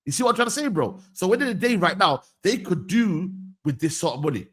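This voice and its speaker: A man's voice: high-pitched, big pitch swings, fast talker, fairly bright tone, husky. A man hosting a casual football debate, slangy and insistent, often repeating himself. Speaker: host of a football talk stream.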